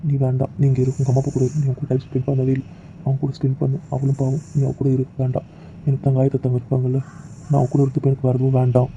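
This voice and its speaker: An actor playing a heartbroken young husband whose wife has rejected him, pleading and despairing, on a dull lo-fi recording with faint noise. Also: male voice, mid-range voice, completely flat delivery, racing, hardly any pauses, mellow, lightly acted. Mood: subdued. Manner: pleading, despairing, voice breaking into raised anguish. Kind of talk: emotional drama acting.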